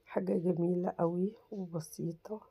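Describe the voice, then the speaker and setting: A woman with an Egyptian Arabic accent talks her viewers through a simple craft project, her voice hoarse from a cold. She keeps a mellow, level tone and keeps repeating how easy it all is. She is recorded close in a dry room with no echo. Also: on the low side, steady tone, normal speed. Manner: chatty, reassuring, repeats "very easy". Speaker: crafts channel host. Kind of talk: casual craft tutorial.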